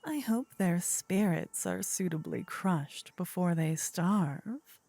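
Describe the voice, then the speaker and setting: A woman acts out a possessive, sinister demon queen for an ASMR fantasy roleplay. She savors each phrase very slowly in a dark, breathy half-whisper, seductive and imperious. The sound is close and dry. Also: fairly low pitch, expressive, no echo, full character voice. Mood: gloating.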